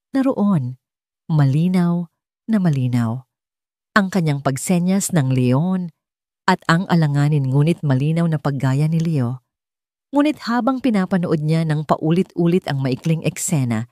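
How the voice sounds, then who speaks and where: A woman narrating a scripted dramatic story in a measured, earnest storytelling manner. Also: on the low side, a little mellow, no room sound, clean studio sound.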